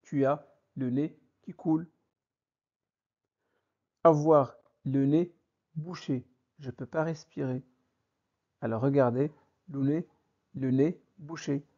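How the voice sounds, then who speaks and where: Male teacher, unhurried and methodical, repeats phrases for learners, slightly muffled recording. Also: a little high, steady tone, darkish tone. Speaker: French teacher. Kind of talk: slow vocabulary lesson. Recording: dull lo-fi recording.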